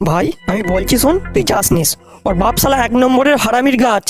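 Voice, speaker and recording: A woman's voice: a little high, animated, fairly quick, lightly acted. A blunt, gossipy young cartoon guy played by a woman. He rattles off emphatic slang, with music underneath. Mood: warning. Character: blunt, gossipy young male village friend, warning and scornful. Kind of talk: comic cartoon dialogue.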